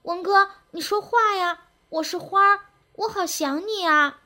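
Woman voicing a high, childlike lovesick village girl. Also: measured pace, sharp tone, exaggerated voice. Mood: insistent, pleading. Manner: pleading, insistent, clingy.

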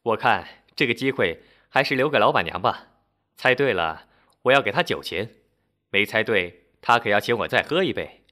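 A man acts out a tall young joker from a narrated tale. He is breezy and challenging, with animated rises and falls in pitch. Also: normal speed. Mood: breezy, bantering. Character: tall young man, brash joking actor posing as policeman.

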